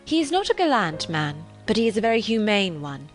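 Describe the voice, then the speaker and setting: An animated young gentlewoman from a period novel, acted out by a woman reader, spirited and sure of her opinions. Her phrasing is formal and emphatic, swinging widely in pitch, with music playing underneath. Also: a little fast, lightly acted. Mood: assured, approving.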